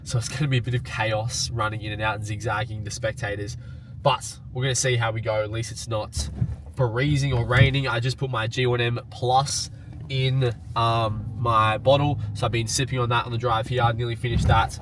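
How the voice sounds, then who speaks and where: A man's voice: medium pitch, flat delivery, normal speed, noisy background. A young man with a head cold, sounding nasal, chatting casually and upbeat to camera with lots of fillers, first in a car and then at an outdoor sports field. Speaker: running vlogger. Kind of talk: casual vlog talk to camera.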